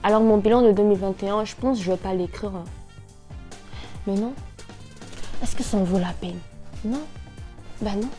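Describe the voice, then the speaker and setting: A woman of nineteen chatting casually to camera as a vlogger, in an animated, slangy way with English words mixed in. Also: mid-range voice, normal speed, long pauses, soft background music. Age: 19, nearly twenty.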